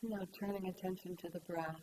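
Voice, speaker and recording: A woman meditation teacher leading a guided meditation in gentle, unhurried phrases with long pauses. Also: on the low side, fairly even intonation, poor audio. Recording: clear background hiss.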